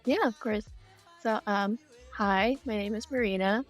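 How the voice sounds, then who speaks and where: A woman's voice: mid-range voice, unhurried, faint music behind. A woman explaining her work as an interview guest. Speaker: interview guest, game project lead.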